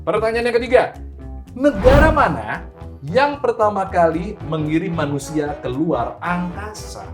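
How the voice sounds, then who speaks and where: A man's voice: high voice, expressive, measured pace. A man hosting a quiz show in a playful, emphatic announcing manner. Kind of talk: lively game show hosting. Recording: music behind the voice.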